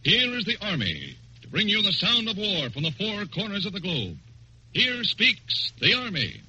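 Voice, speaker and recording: A man's voice: sits high, wildly swooping pitch, moderate pace, background noise. A man with an American accent announcing a scripted wartime radio program in a formal, declamatory style of the 1940s. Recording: vintage 1940s radio broadcast with music.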